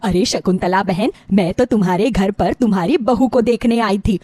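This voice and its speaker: A woman's voice: medium pitch, normal speed, mellow, breathy edge, no room sound, clean studio sound, voice pushed down, natural voice. A woman voicing mocking middle-aged neighbour gossips in a story, taunting with false sweetness. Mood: teasing. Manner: taunting, mock-sweet, exclaiming.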